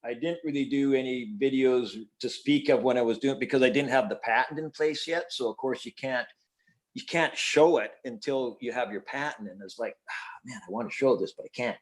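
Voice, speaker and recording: A man's voice: mid-range voice, fairly quick, poor audio. An older man chatting casually as an interview guest, rambling in a folksy, self-deprecating way about his hobby. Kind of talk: casual chat.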